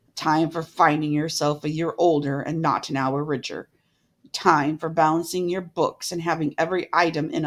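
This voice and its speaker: A woman voicing a miserly, bitter old man in a storybook reading, with gruff, scornful retorts. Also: deep voice, voice pushed down.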